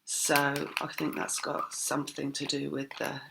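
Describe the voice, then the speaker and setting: Croaky, gravelly woman reading tarot. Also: a bass voice, monotone, moderate pace, very noisy. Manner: rambling, reflective, many fillers. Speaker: tarot reader. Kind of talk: casual spiritual reading.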